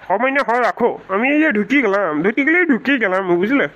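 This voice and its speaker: A penniless, lovesick young cartoon fellow played by a man. He talks quickly in a high voice, whining and melodramatic. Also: expressive, pushed and bright, highs cut off, slight background noise, heavily acted. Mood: hurried reassurance. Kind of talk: Bangla comedy cartoon dubbing.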